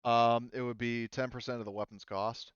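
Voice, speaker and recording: A man acting as game master in a casual online tabletop session, explaining rules haltingly as he goes. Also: medium pitch, flat delivery, clean tone, no room sound, highs cut off.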